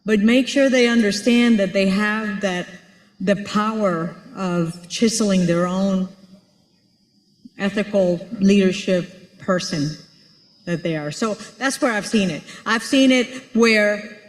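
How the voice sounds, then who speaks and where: A woman's voice: medium pitch, slow pace. A woman from Rico, speaking earnestly to an audience and searching for her words as she answers a question. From Puerto Rico. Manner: earnest, searching for words, builds ideas with everyday examples. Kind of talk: unscripted conference talk. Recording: echoey hall with long reverb.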